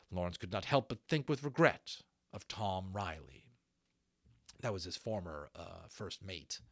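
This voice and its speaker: A man reading a novel aloud as an audiobook narrator, in an expressive storytelling style. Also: on the low side, no room sound, clean studio sound. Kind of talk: expressive storybook narration with brief asides to listeners.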